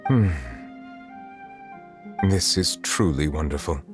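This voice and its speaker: A dignified, dutiful official in a video game. His voice is low and his delivery formal, measured and composed, with clear music underneath. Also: lively intonation, heavily acted. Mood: quietly moved. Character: dignified, reserved, dutiful male high official.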